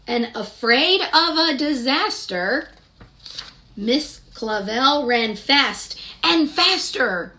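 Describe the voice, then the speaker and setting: A woman reading a picture book aloud to children in an expressive, deliberate way, pausing to ask her young listeners questions. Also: high-pitched, a little hiss or hum.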